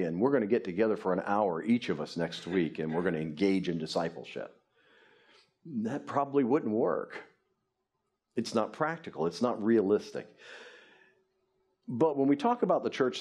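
A man's voice: lowish voice, darkish tone, breathy edge. A man in his fifties, a pastor preaching earnestly from a lectern on a church stage. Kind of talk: sermon. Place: church stage before a congregation.

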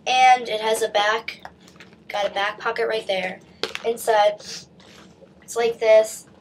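A woman's voice: fairly high pitch, fairly even intonation, slow pace. Teen girl rambling about her haul, stuffy nose from a cold, webcam mic, bit of background hiss. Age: teens. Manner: chatty, many likes and restarts. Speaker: vlogger. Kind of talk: casual haul video chat. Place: small bedroom indoors. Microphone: webcam at desk distance.

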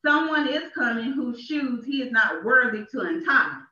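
High, bright woman preaching in echoey church. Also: expressive, normal speed, recorded from a distance. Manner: emphatic, repeats phrases for effect. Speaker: minister. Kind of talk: sermon read with deliberate emphasis. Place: small church sanctuary. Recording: echoey, dull church livestream from off.

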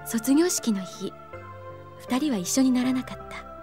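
A voice actress playing a gentle, wistful young woman teacher in an anime audio drama, soft and reflective. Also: high-pitched, lively intonation, unhurried, over music.

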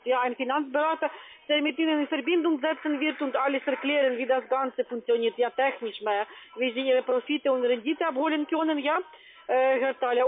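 High-pitched foreign-accented saleswoman on the phone. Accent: foreign accent in German. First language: not German. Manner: pushy, repeatedly tagging phrases with "ja". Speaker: call-center sales agent. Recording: tinny narrowband phone line.

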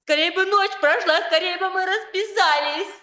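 A woman's voice: extremely high pitch, normal speed, bright, forceful tone. A woman playing a hurt, jealous young bride in a 1960s Soviet radio play, with emotional, colloquial outbursts. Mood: agitated, pleading.

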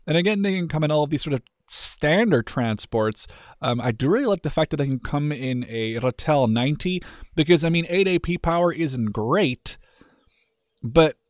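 A man's voice: fairly high pitch, no room sound, studio quality. A man giving casual, off-the-cuff video game commentary in a candid, conversational way, thinking aloud as he goes. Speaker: gaming video creator. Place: indoors, voice-over on game footage.

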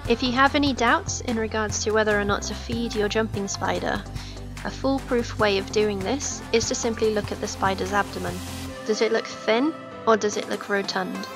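Hoarse young woman explaining pet care. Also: medium pitch, normal speed, music in the background. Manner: steady explanatory delivery. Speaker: pet-keeping channel host. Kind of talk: informative pet-care narration. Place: home room with reptile tanks.

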